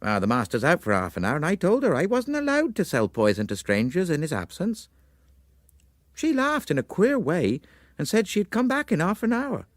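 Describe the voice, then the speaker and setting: A man's voice: a little high, wildly swooping pitch, moderate pace, dry recording, studio quality, voice pushed up. A man voicing a gossipy, alarmed young chemist's apprentice in an audiobook story, confiding and insistent.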